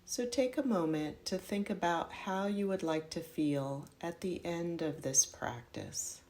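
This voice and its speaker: A woman guiding a yoga nidra meditation in a measured, instructional way, offering gentle directions. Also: lowish voice. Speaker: meditation guide.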